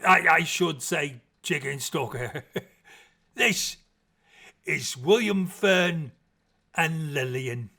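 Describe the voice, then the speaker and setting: A man's voice: a little high, very slow, creaky, long pauses, sharp tone, heavily acted. A man playing a humble, kindly old working man, effusive and grateful, stammering a little in his fluster. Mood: flustered, delighted.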